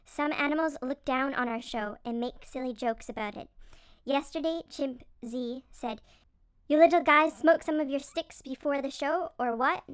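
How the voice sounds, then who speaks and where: A high, dry-sounding voice for a storybook beaver leader played by a woman. She is strict and self-critical, a female boss worn down by worry. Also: normal speed, no echo, close to an everyday voice. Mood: weary, frustrated. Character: stern, stressed female beaver team leader. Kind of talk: acted storybook character dialogue.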